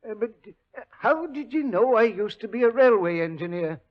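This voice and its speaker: Station master, middle-aged, thick speech, high voice, old radio drama. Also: male voice, animated, normal speed. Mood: earnest. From Sussex. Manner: thick-voiced, plain-spoken, flustered. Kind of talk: 1940s radio acting. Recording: dull old radio broadcast.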